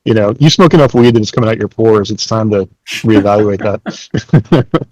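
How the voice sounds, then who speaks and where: Low-voiced man chatting casually on a podcast. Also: normal speed, a little mellow, no room sound, low-quality recording. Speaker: podcast host. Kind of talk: casual chat.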